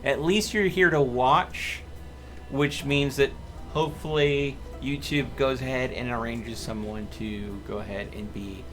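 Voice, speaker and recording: A man's voice: mid-range voice, a little slow, music in the background. A man doing live gameplay commentary as a streamer, chatting to his viewers and muttering to himself as he plays. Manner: talks to chat and mutters to himself. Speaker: game streamer.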